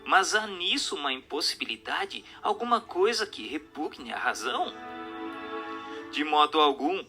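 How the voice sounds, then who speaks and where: A man's voice: fairly high pitch, sing-song, a little slow, bright, forceful tone. A man with a Brazilian accent reading a scripted narration in an emphatic, didactic, rhetorical manner. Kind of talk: scripted dramatic narration of a spiritist text. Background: music under the voice.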